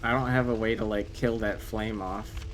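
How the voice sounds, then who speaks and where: A man's voice: lowish voice, fairly even intonation, normal speed, slight background noise. A man whose first language is French, streaming a game live and chatting casually with viewers, breaking into swearing and sudden exclamations. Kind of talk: casual live gameplay commentary.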